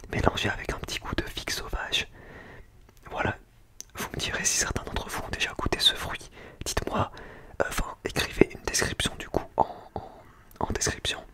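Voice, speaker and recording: An ASMR creator, speaking in a breathy, unvoiced whisper in an informal, slangy, chatty way while reviewing food. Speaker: ASMR video creator and host.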